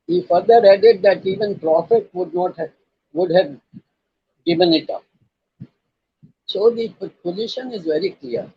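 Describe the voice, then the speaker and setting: Raspy, high-pitched older Indian-accented man. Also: dry recording, dull audio. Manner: emphatic, deliberate, formal. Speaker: lawyer and channel host. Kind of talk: explanatory legal talk, quoting judgments.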